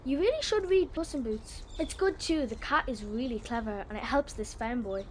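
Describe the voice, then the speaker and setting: A high, girlish voice for a dreamy and earnest schoolgirl acted by a woman in a children's audio play. She chatters with open curiosity. Faint outdoor noise and birdsong sit behind her. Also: expressive, normal speed, a little mellow, close to an everyday voice. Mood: chatty. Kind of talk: children's audio drama acting.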